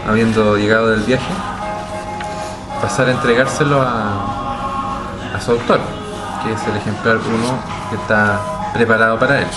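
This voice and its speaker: A man, a book editor, tells a story in a halting way, restarting and repeating words. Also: fairly low pitch, steady tone, low-quality recording. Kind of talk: storytelling monologue. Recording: loud music underneath.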